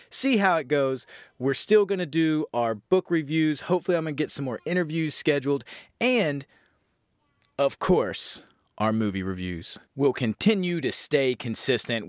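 Man, podcast host, medium pitch, informal chat to the audience, very dry recording. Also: no room sound. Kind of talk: casual talk to listeners.

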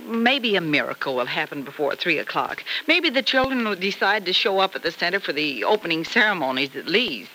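Sympathetic middle-aged mother, old radio soap, bright tone, faintly noisy recording. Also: on the low side, brisk, few pauses. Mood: gentle, concerned. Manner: gently reasoning, expressive. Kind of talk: 1950s radio soap opera acting.

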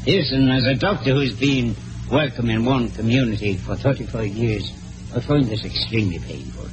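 An actor playing a huffy, pompous older small-town doctor in 1940s radio style. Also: male voice, medium pitch, normal speed, close to an everyday voice, low-quality recording. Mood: testy, exasperated. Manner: pompous, fussy, exclamatory. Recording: old radio recording with music.

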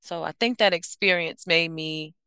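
A woman in her thirties from the United States, guesting as an I-O psychologist, speaks in a bright, very crisp voice with a slightly low pitch. She reflects aloud, pausing on fillers and correcting herself as she goes. The sound is dry and close. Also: no room sound. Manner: thoughtful, with frequent fillers and self-corrections. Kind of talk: reflective interview answers.

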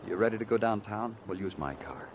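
An actor playing a brooding, sardonic police detective in an old-time radio crime drama, terse in questioning and somber in narration. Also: male voice, fairly low pitch, animated, a little fast, noisy background, close to an everyday voice. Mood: sardonic, pressing. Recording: vintage radio recording with musical underscore.

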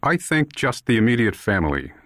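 A man's voice: mid-range voice, big pitch swings, fairly quick, a bit bright, dry recording, clean studio sound, pitched above the performer's own voice. A man voicing a serious young doctor who speaks exquisitely politely and curtly.

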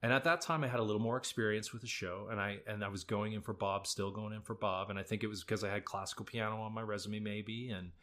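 Laid-back man with a bright low voice. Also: steady tone, fast, few pauses. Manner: laid-back, self-deprecating, chatty. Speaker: musical theatre actor, guest. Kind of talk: casual storytelling interview.

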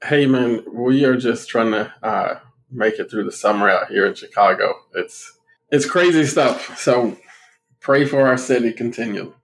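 Measured man co-hosting a podcast. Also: mid-range voice, gravelly, slight background noise, low-quality recording. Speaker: podcast co-host, reverend. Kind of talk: casual podcast chat.

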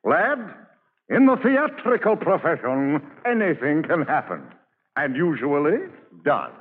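Pompous, swooping theatrical actor-manager. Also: male voice, fairly high pitch, very animated. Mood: resigned. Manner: florid, theatrical, drawn-out delivery. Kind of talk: old-time radio drama acting. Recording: echoey, tinny, hissy old radio broadcast.

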